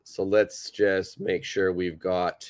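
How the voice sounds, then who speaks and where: A man teaching English in a live online lesson, who speaks slowly and deliberately, repeats key words for his learners, and has a stuffed-up nose. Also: deep voice, little pitch movement.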